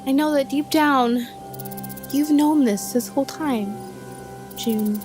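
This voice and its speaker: Casting: woman to play the earnest peacemaker of a runaway band, very high, slow, protective. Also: darkish tone. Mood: grave, sorrowful. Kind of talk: audio drama acting. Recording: live-streamed audio play with music bed.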